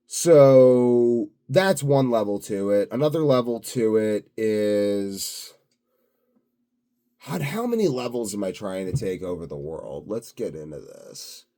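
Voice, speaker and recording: A man's voice: fairly low pitch, lively intonation, takes it slowly, warm tone. A self-assured, blunt man rambling unscripted to his viewers in a grandiose solo monologue. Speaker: solo video host.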